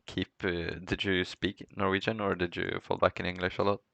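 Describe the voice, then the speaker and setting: Low, gravelly man in relaxed podcast chat. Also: moderate pace, very noisy. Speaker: podcast co-host.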